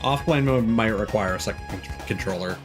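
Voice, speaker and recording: A man's voice: lowish voice, brisk, music in the background. A man doing casual live gameplay commentary as a streamer, thinking aloud as he plays. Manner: thinks aloud, mild swearing. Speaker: video game live streamer.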